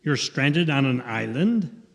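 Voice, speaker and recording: A man's voice: mid-range voice, expressive, takes it slowly, vocal fry. A man playing a skeptical, unhelpful small-town emergency dispatcher in an old-time radio comedy sketch, with a folksy, questioning manner. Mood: puzzled. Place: theatre stage. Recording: echoey theatre hall with long reverb.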